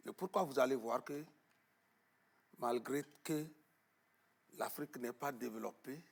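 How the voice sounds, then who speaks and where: A man being interviewed in a television studio, explaining at length with emphasis, often pausing on fillers and repeating words. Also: mid-range voice, creaky, breathy edge. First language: Arabic-educated, French not first. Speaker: guest on traditional knowledge.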